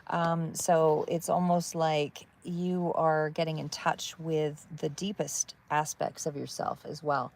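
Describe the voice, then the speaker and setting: A woman giving a live tarot reading in a calm, soothing voice that wanders between thoughts with many fillers. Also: sits low, slow, few pauses, slight background noise. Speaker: tarot reader.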